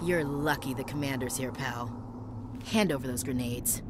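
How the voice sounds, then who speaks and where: A woman's voice: rumbling low, moderate pace, background noise. A woman playing a tough, blunt soldier in a video game, with a confrontational manner. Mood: angry.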